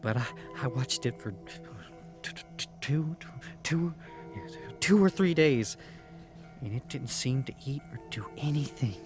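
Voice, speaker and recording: Man as a slow, stammering storyteller. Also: mid-range voice, over music, close to an everyday voice. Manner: halting, stammering recollection.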